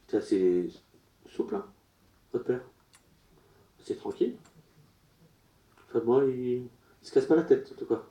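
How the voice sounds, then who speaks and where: A man working as a medium at a public séance, relaying messages in short, broken, halting phrases. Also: medium pitch, sing-song, dark tone. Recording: roomy echo.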